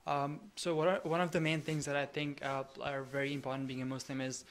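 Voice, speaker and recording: Young guy w pakistani accent, student being interviewed, pretty monotone. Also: mid-range voice, quick pace, a little hiss or hum. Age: early twenties. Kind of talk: conversational interview answers.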